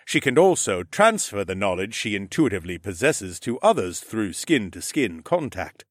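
A man voicing a stately, formal male ram lord who speaks in measured, courtly phrases. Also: on the low side, sing-song, fairly bright tone, no room sound, clean studio sound. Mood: earnest.

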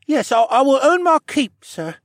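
Audiobook character: nervous, eager teen son, high strained voice that cracks, stammering, dry recording. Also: male voice, big pitch swings, normal speed, dry recording. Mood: nervous, respectful. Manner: stammering, hesitant. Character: anxious, eager teenage adopted son, boy.

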